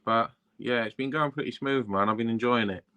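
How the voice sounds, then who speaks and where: A man's voice: mid-range voice, brisk, sharp tone, smooth. A young Welsh man, a tarot reader, chatting in a rambling, laid-back way, full of "you know what I mean". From Wales. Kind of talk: casual interview chat.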